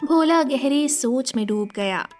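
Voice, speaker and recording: Female narrator for scripted children's tales, very high voice, unhurried pace. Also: expressive, darkish tone, faint music behind.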